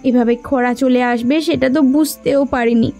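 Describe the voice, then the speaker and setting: A woman's voice: on the high side, fairly even intonation, moderate pace, gravelly, mellow, soft background music. A woman voicing a nagging, anxious village wife in a cartoon folk tale, insistent and dramatic.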